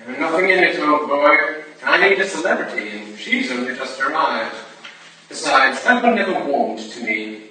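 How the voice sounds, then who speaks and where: Casting: actor as tipsy upper-class braggart, highish animated voice, swaggering and confiding, period stage drama. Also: male voice, moderate pace, a crowd in the background. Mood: self-important. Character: boastful, drunken upper-class English gentleman rake. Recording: echoey room from far off, noisy.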